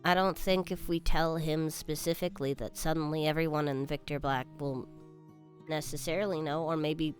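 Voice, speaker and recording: A woman's voice: deep voice, fairly even intonation, moderate pace, faint music behind. A woman playing a tabletop roleplaying game, reasoning aloud as she makes her case to the group.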